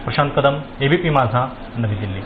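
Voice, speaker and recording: Very fast-talking Maharashtrian male reporter. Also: medium pitch, animated. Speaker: TV news reporter. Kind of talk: field news reporting. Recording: tinny phone-like sound, some background noise.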